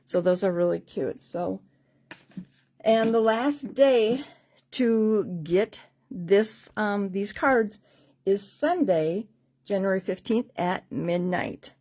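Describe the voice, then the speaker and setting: Lady doing a live card making class, chatty n rambly, regular pitch, voice a bit worn out. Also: animated, slow, dark tone. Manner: chatty, rambling, informal, repeating herself. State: losing her voice slightly. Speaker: host of a card-making craft class livestream. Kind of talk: casual live tutorial chat with sales pitch.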